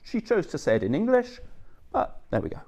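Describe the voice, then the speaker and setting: A man's voice: high voice, huge pitch swings, brisk, darkish tone. Man giving a chatty academic talk. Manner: conversational, checks in with frequent "okay" and "yeah". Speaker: academic presenter. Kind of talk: conference talk.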